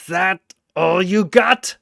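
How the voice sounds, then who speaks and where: A man voicing a gruff, defiant, feral brawler who taunts and growls in an animated story. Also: sits high, very slow, dry recording, clean studio sound, pitched above the performer's own voice, exaggerated voice. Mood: taunting.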